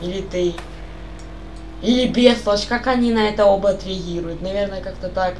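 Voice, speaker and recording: A woman's voice: medium pitch, fairly even intonation, a little fast, darkish tone, slight background noise, low-quality recording. A young woman chatting casually on voice chat while playing a game, rambling and asking the same questions over and over. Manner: rambling, asks repeated questions. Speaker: gamer chatting on voice call.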